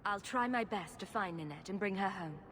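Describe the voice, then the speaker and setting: An actress playing a dry-witted, sarcastic adventurer heroine. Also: female voice, mid-range voice, animated, fairly quick, a bit bright, a little hiss or hum, lightly acted.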